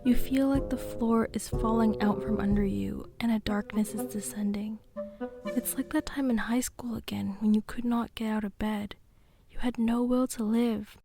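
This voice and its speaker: A woman narrating a radio drama in the second person, with deliberate phrasing and hushed, breathy passages. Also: a little high, steady tone, music in the background. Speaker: narrator and host.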